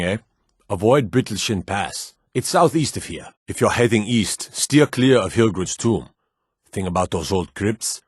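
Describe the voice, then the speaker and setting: An actor playing a stern, world-weary male town guard in a fantasy video game, speaking in a terse, gruff manner. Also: lowish voice, no room sound, studio quality. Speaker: game character voice.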